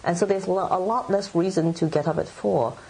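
A woman's voice: on the low side, fairly quick, gravelly, darkish tone, slightly rough, low-quality recording. A middle-aged woman writer from Hong Kong, answering interview questions in a thoughtful, meandering way with many fillers. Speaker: writer and editor.

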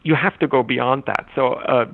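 A man whose first language is German, a literature scholar giving thoughtful, halting interview answers with frequent restarts. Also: medium pitch, steady tone, gravelly, phone-quality sound. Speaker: literature scholar and anthology editor.

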